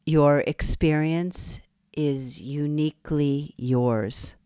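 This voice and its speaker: A woman guiding a yoga nidra relaxation, speaking softly and soothingly with long pauses between phrases. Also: low voice, steady tone, clear, resonant tone, dry recording, studio quality. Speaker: meditation guide. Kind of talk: guided yoga nidra meditation.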